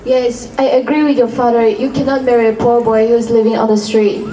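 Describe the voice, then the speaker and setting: Disapproving village mother, played by a woman, mellow, even-toned, folk play, echoey. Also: fairly high pitch, brisk, natural voice. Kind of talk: amateur folk tale acting. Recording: echoey room, distant mic, faint noise.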